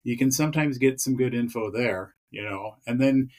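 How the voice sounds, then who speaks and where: A man's voice: medium pitch, normal speed, a little mellow. A man chatting as a podcast guest, rambling with many fillers and restarts. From Pacific Northwest of the US. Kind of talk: casual interview chat.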